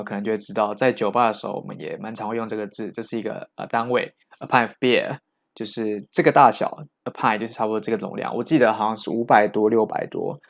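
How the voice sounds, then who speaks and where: A man teaching vocabulary, switching between Chinese explanations and English example sentences read aloud. His voice sits a bit low, with a gravelly, creaky edge.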